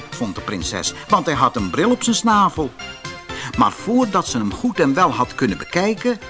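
A man narrating a scripted fairy tale audiobook in an expressive, dramatic storytelling manner. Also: fairly high pitch.

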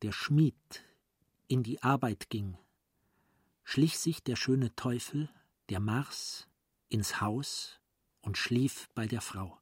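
A man narrating a radio play as a literary storyteller, in a deliberate, dramatic manner. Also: mid-range voice, slightly rough, dry recording, clean studio sound.

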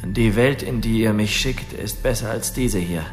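An actor playing a courteous condemned convict in a radio drama, with a composed, formal and polite way of speaking. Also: male voice, fairly low pitch, moderate pace, soft background music, close to an everyday voice.

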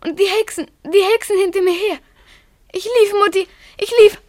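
A woman's voice: very high voice, steady tone, moderate pace, a little mellow, no room sound, clean studio sound. A female performer acting a frightened, clinging little schoolgirl in a German radio play, earnest, breathless and pleading. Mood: fearful, earnest.

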